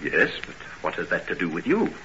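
Formal, probing middle-aged gentleman for vintage radio drama; clear-cut bright tone, animated inflection. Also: sits low, a little fast, exaggerated voice. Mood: courteous, wary. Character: polite, inquisitive middle-aged gentleman, a worried father. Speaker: actor. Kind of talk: old radio drama acting. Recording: muffled noisy old radio recording.

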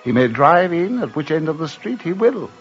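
An actor playing a nonchalant gentleman traveler, in an old radio drama. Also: a little high, big pitch swings, normal speed, faint music behind. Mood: reflective. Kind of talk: 1950s radio drama acting. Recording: dull lo-fi vintage radio recording.

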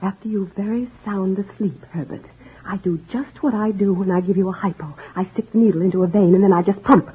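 An actress playing a mousy, downtrodden wife who calmly plans to murder her husband, in old-time radio drama style, speaking quietly and chillingly and breaking into sobs. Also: medium pitch, brisk, slight background noise, close to an everyday voice. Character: timid downtrodden wife turned cold poisoner. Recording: muffled lo-fi old radio recording.

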